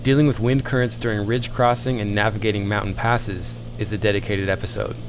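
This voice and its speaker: A man's voice: on the low side, level tone, brisk, background noise. A man narrating as a pilot host in a scripted vlog voice-over.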